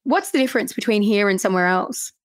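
A woman from the Middle East hosting a podcast interview, curious and playful with quick follow-up questions. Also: medium pitch, lively intonation, no echo, clean studio sound. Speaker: podcast host. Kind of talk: casual interview chat.

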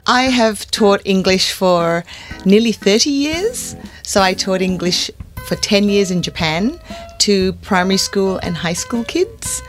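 A woman's voice: medium pitch, lively intonation, measured pace, soft background music. A middle-aged woman with an Australian accent, a guest English teacher explaining her experience in a friendly way on a podcast. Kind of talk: podcast interview.

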